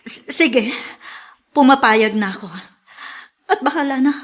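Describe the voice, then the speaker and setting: A woman's voice: high voice, measured pace, a bit bright. A woman playing a worried mother in a radio drama, speaking in an anxious, hesitant manner. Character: Mariana, worried mother fighting for her child. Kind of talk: Filipino radio drama acting.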